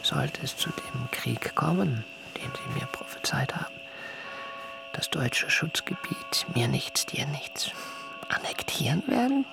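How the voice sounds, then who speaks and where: An actor playing an idealistic German planter in the colonial South Seas, speaking earnest, formal, ornate German in a radio drama. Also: male voice, fairly high pitch, creaky, heavily acted. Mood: anxious brooding. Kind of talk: radio drama acting, first-person storytelling. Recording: music under the voice.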